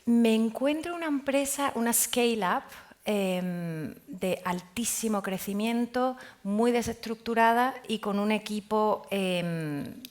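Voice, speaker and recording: A woman speaking as a panel guest, telling her story in a lively way and acting out past conversations. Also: a little high, slow. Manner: animated storytelling, acting out dialogue. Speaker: panel guest, business manager.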